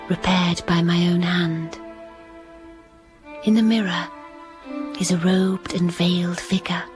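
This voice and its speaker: An actress playing a quiet, reflective young bride narrating a radio drama. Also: female voice, lowish voice.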